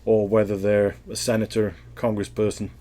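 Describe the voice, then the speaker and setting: Low-voiced Welsh man, rambling and thoughtful. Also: monotone, normal speed, dark tone. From Wales. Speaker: interviewee. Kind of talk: casual interview answers.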